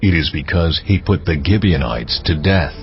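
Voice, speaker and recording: Stern deity, low-pitched, grave, measured, audio Bible drama, music behind. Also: male voice, lively intonation. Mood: solemn judgment. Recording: dull band-limited audio with music bed.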